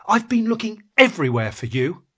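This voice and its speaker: A man's voice: sits high, very animated, fairly quick, bright, forceful tone, husky, no room sound, pitched above the performer's own voice, full character voice. A man voicing an agitated, demanding woman in a literary story, with an urgent, complaining manner. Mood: angry, accusing.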